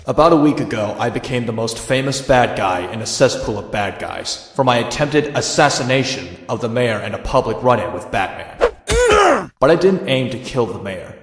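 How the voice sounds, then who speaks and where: A man's voice: mid-range voice, expressive, normal speed, natural voice. An actor playing a wry, cocky young vigilante, narrating his own story in a dry manner with an echo on the voice. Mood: brooding. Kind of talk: scripted first-person narration. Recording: echoey with long reverb.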